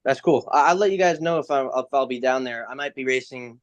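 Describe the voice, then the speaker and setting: A man's voice: medium pitch, brisk, no room sound, dull audio. Young man chatting informally, dry sound. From United States. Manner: informal, slangy. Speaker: racing driver, interview guest. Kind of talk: casual interview chat.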